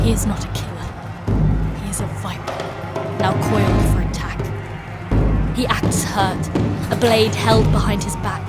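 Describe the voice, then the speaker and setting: A woman playing a fierce, devout warrior knight in a dark fantasy audio drama, narrating with solemn, deliberate intensity. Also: mid-range voice, natural voice. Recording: voice over loud dramatic music.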